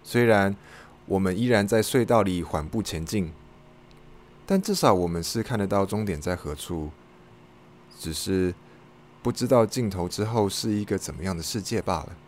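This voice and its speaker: A man's voice: low-pitched, animated, moderate pace, a little hiss or hum. A man hosting an audio log, reading a scripted first-person review as narration. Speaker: podcast host, reviewer.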